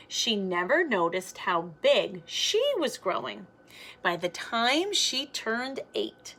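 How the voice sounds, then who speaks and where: A woman reading a children's storybook aloud like a teacher, in an expressive, sing-song way for young listeners. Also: mid-range voice, poor audio.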